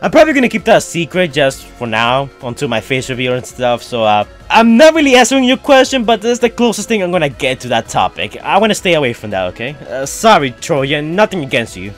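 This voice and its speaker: A man's voice: on the high side, big pitch swings, quick pace, hardly any pauses, soft background music. A young man with a foreign-sounding accent, rambling casually through fan questions on his channel. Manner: rambling, chatty, frequent fillers. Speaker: YouTuber answering fan questions. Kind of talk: casual Q&A chat.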